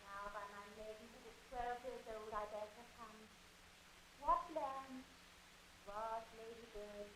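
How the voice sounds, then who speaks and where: A woman's voice: on the high side, steady tone, unhurried, pauses often, lightly acted. An actress playing an imperious stage mother, declaiming theatrically in a 1940s radio drama. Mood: calling, imperious. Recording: echoey, distant, hissy stage sound.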